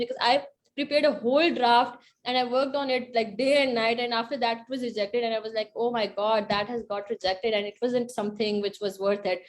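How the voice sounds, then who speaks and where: A woman's voice: a little high, a little hiss or hum. A woman speaking as a panelist, sharing advice and personal experience.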